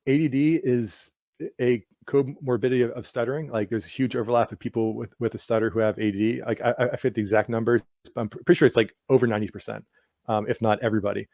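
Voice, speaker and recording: A man who stutters, answering questions in a loose, conversational way. He repeats sounds and words and leans on "like" as a filler. His voice sits at a middling pitch, recorded dry and close. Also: steady tone, fast talker, darkish tone, no echo. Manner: stutters with sound and word repetitions; heavy use of "like" as filler. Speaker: guest author. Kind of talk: casual interview answers.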